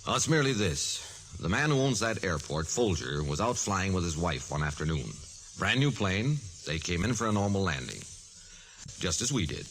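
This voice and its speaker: Earnest, bright-voiced 1940s insurance man. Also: sits low, big pitch swings, heavily acted. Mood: subdued, persuasive. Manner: deliberate, instructive, insistent. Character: earnest, businesslike male insurance adjuster. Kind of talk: 1940s radio drama acting. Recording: noisy vintage 1940s radio recording.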